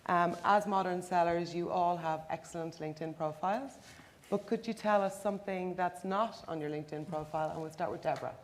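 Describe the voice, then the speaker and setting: A woman with a slightly low voice moderates a panel on a conference stage. She introduces guests in a polished, upbeat way. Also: brisk.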